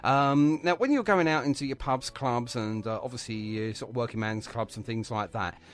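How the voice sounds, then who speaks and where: A man's voice: medium pitch, normal speed. A man hosting a casual radio interview, chatting in a wordy, encouraging way. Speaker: radio show host.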